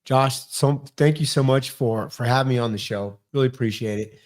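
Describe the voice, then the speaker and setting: A man in his fifties with a New York accent, talking fast and with lots of energy as a guest on a podcast. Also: mid-range voice, a little mellow. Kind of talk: casual interview chat.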